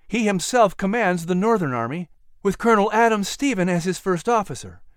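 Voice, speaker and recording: A man's voice: high voice, clear, resonant tone, no echo, clean studio sound, pitched above the performer's own voice. A man voicing a grave, determined young woman disguised as a militia soldier. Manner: earnest, solemn.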